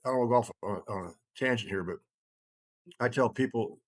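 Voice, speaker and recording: A man's voice: mid-range voice, fast. An older man in casual podcast conversation, rambling but emphatic, with blunt, salty language. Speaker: kindred chieftain.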